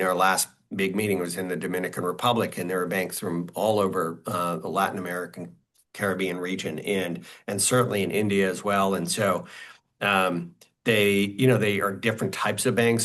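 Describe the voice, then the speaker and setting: A man's voice: low voice, a bit bright. A man as guest speaker answering questions informally on a video call, often repeating words and saying "like" and "you know".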